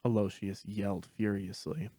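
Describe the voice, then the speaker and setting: A man's voice: lowish voice, steady tone, normal speed, mellow, husky, no room sound, clean studio sound. A man reading scripted story narration as the narrator.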